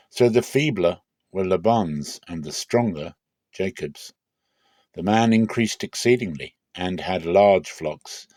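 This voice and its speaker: A man with a lowish voice reads scripture aloud as a dramatic narration. His delivery is measured and solemn, with clear emphasis on key phrases. The sound is very dry, with no room around it. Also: a little mellow, no room sound. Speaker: Bible reader.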